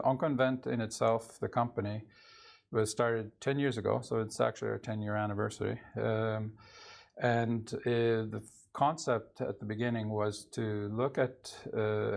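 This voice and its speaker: A man's voice: fairly low pitch, gravelly, darkish tone. A middle-aged man with a Norwegian accent, answering interview questions in a studio, hesitant and full of filler sounds. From Canada. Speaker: company CEO.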